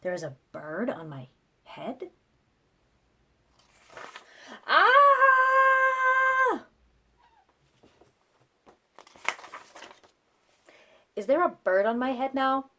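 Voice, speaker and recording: A woman voicing an excitable, easily flustered storybook elephant with dramatic, exclamatory questioning. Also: high-pitched, slow, full character voice. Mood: alarmed.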